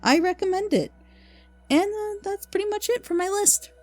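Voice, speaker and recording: A woman's voice: very high voice, moderate pace, faint music behind. A woman giving a casual, unscripted review as a video host, rambling informally and with enthusiasm. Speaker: video host recommending fan audio dramas.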